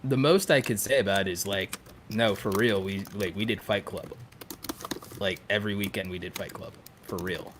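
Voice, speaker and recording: Low-pitched man chatting emphatically. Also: normal speed, background noise. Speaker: podcast co-host. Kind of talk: casual chat.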